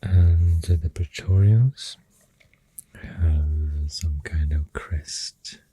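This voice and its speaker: Breathy, very low Swedish-accented ASMR whisperer. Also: steady tone. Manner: hushed, halting, soothing. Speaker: ASMR artist drawing while talking. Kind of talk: soft-spoken whispered ASMR rambling. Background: pencil writing and drawing sounds.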